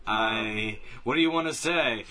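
Man with a bright, crisp-edged tone. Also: lowish voice, unhurried. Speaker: podcast co-host. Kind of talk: casual chat about films.

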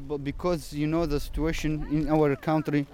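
A young Syrian man with an Arabic accent, speaking halting, broken English and searching for words in an outdoor field interview. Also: fairly high pitch, level tone, warm tone. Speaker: refugee interviewee. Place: outdoors on a dirt road between farm fields.

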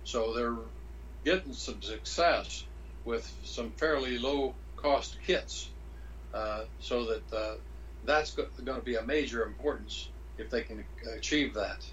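Halting man on a dull-sounding mic. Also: medium pitch, a bit bright, slight background noise. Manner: halting, restarts, searches for words. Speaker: podcast co-host. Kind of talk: casual conversation.

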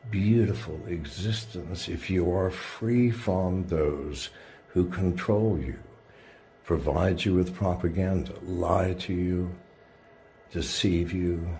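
A man's voice: low-pitched, fairly even intonation, slow pace, gravelly, dark tone, a little hiss or hum. A man giving a dramatic monologue in a preachy, intense way, his voice jumping up in sudden rises. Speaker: lone speaker addressing listeners from a shielded room.

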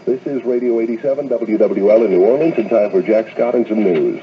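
A man's voice: lowish voice, a little fast. A man announcing station identifications in 1970s AM radio style. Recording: noisy, muffled 1970s AM radio aircheck.

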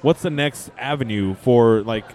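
A man's voice: mid-range voice, a little slow, crowd noise. A man in his late twenties chatting casually on a podcast and asking follow-up questions. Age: 20s. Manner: inquisitive, asks questions. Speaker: podcast co-host. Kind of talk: casual chat.

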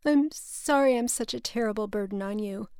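A woman's voice: fairly high pitch, normal speed, a little mellow, clear, resonant tone, dry recording, clean studio sound, natural voice. A woman voicing a distraught, self-pitying older mother who is profane and accusing, in an audiobook story. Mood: pleading, self-pitying.

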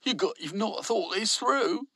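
Bewildered male factory workers calling back their doubts, put on by a man reading the story. The voices are high, bright and husky, drawn out slowly. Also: lively intonation, no echo, clean studio sound, heavily acted. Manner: bewildered, grumbling. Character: confused working-class factory workers, male.

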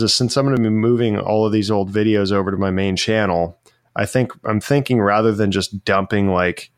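A man's voice: on the low side, clean tone, no room sound, clean studio sound. A man from Florida chatting casually on a podcast, rambling and correcting himself as he goes. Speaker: podcast co-host, metalworker. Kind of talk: casual chat.

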